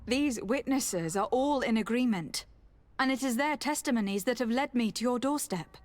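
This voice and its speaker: A woman's voice: on the high side, a little slow, darkish tone, no echo, lightly acted. A young woman playing a courteous, composed noble envoy in a video game, speaking formally and asking probing questions. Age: young adult. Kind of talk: dramatic game dialogue.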